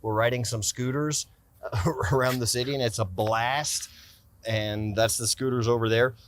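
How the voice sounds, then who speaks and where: A man's voice: mid-range voice, fairly even intonation, moderate pace, slight background noise. A man in his forties with an American accent, talking casually about his everyday carry gear while seated in a city park, rambling and restarting sentences. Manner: rambling, self-interrupting, enthusiastic about gear. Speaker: gear company owner and vlogger. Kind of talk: casual gear-review vlog talk. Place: outdoor city park, seated on stone ledge. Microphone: camera on tripod a few feet away, handheld close-ups.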